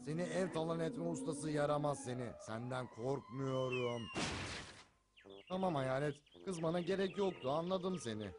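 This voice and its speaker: A man voicing a sarcastic, self-assured, food-loving cartoon cat in an animated dub. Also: a little high, normal speed, lightly acted. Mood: indignant. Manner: wry, self-assured, theatrical. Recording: background music under the voice.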